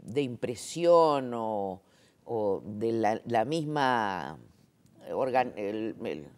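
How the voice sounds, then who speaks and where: A woman explains her work in a relaxed interview. Her pitch moves animatedly as she stresses her points. She uses frequent fillers and rhetorical checks. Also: extremely slow. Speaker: interviewee, sign language interpreter. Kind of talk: conversational interview.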